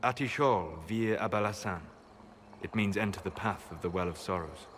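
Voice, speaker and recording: A man voicing a scholarly male mage who speaks in a measured, dry, quietly wry way. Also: sits low, slight background noise.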